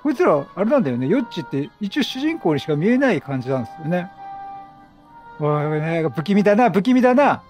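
A man giving casual, chatty game commentary, excitable, repeating phrases and reacting aloud. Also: high-pitched, fast. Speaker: game streamer. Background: game music.

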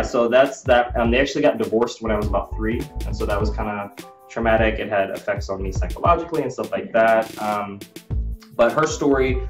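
Deep-voiced American man in an echoey room. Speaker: video host and health business owner. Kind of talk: casual personal storytelling. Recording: roomy echo with music behind.

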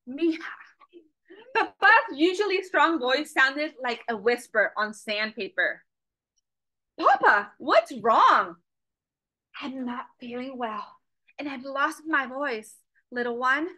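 A young woman reading a picture book aloud to children on a video call, with a lively questioning manner. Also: sits high, takes it slowly, long pauses. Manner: animated, asks children questions, switches between English and Spanish. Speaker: storytime reader. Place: small office with a Mexico flag poster. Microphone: video call webcam.

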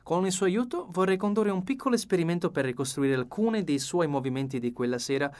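Male reader as excitable foreign detective: high, swooping, courteous, precise, storybook delivery. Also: fast talker, close to an everyday voice. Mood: confiding, keen. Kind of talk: audiobook character reading.

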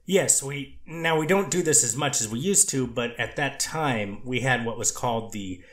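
A man voicing a treatment counselor testifying, cautious and halting, with clinical wording. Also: mid-range voice, fairly bright tone, echoey room, close to an everyday voice. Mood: cautious testimony. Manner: hesitant, restarts, clinical wording. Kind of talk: courtroom transcript reading.

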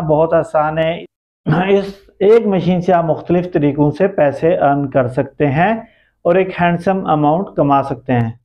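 A middle-aged man with a Pakistani accent speaks as a video host, explaining an idea step by step to his viewers. His voice sits high for a man. The recording is dull and lo-fi, made indoors. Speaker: YouTube host. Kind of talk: explanatory business-idea vlog addressed to viewers. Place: small plain indoor room.

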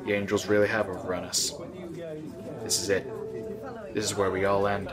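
A man acting a brisk, grim space station officer in an amateur audio drama. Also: deep voice, level tone, lightly acted. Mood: hopeless. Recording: clearly noisy background.

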